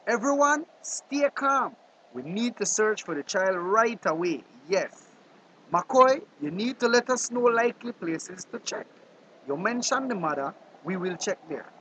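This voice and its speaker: A man's voice: high-pitched, animated, unhurried, background noise. A man playing an authoritative small-town police officer in a radio drama. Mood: calm, commanding.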